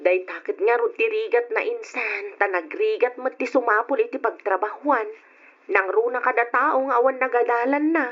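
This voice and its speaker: Actress as a high-voiced, teasing young cousin. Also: female voice, normal speed, lightly acted. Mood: sympathetic. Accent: Filipino, Ilocano. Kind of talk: old-style Ilocano radio drama acting. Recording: dull, lo-fi radio drama recording.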